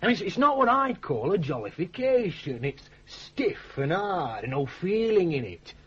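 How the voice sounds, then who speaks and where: Ale-loving villager, Northern English accent, husky, animated, folksy, muffled old radio drama recording. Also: male voice, high-pitched, moderate pace, exaggerated voice. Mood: earnest. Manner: folksy, rambling, emphatic. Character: genial, ale-loving village man fond of mellow jollity. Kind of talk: early-1980s British radio drama acting. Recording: dull, band-limited old radio broadcast.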